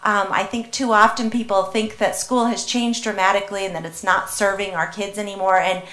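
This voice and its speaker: Councilwoman, mid-pitched, earnest and encouraging, echoey chamber. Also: fairly even intonation, fairly quick, a bit bright. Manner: earnest, encouraging, speaks up with conviction. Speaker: city councilmember. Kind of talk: council meeting remarks.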